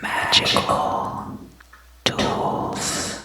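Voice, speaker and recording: A woman whispering in a breathy, unvoiced voice, guiding an ASMR meditation with soft, deliberate phrasing and hypnotically repeated words. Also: noisy background. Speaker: ASMR host and tarot meditation guide.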